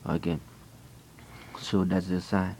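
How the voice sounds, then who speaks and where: A man with a Haitian accent speaks low and slow, his voice gravelly with fry. He tells his faith story earnestly and haltingly. A faint hiss sits under the recording. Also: level tone, a little mellow, poor audio. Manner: earnest, halting, with frequent restarts. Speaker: person giving a religious testimony. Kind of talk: personal faith testimony.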